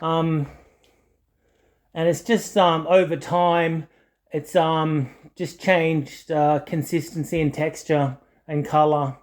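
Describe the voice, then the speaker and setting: A man's voice: a little high, flat delivery, slow. A man giving a casual, unscripted video walkthrough in a hesitant, rambling way, often correcting himself. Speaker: audio channel host. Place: indoors, small home study.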